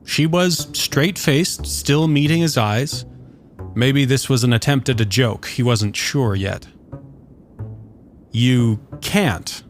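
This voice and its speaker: A man's voice: medium pitch, a little slow. A man reading scripted fiction as the narrator of a story. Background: soft guitar music.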